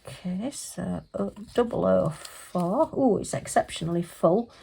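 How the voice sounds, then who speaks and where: A middle-aged woman from England, slightly hoarse from losing her voice, chatting and rambling with fillers while sorting craft supplies at her desk. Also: on the low side, expressive, unhurried, dark tone. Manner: chatty, rambling, with frequent fillers and light laughs. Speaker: craft vlogger. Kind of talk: casual chat while crafting. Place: home craft room, at a desk. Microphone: overhead camera above the desk, hands only.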